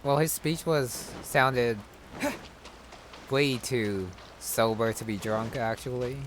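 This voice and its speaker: A man giving casual commentary as he plays a game, musing aloud in a halting way. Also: mid-range voice, fairly even intonation, slight background noise. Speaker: gamer commenting on a playthrough.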